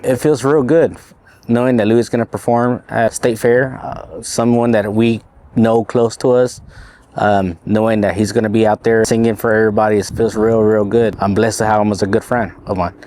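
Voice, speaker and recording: A man who owns a ranch and trains race horses, speaking relaxed and hesitantly in a documentary interview. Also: fairly low pitch, normal speed. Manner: relaxed, hesitant, many fillers.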